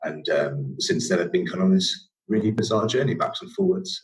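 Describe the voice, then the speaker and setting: A man's voice: deep voice, animated, a little fast, poor audio. A young man from England with a northern English accent, a podcast guest telling his story casually, rambling with many fillers and restarts.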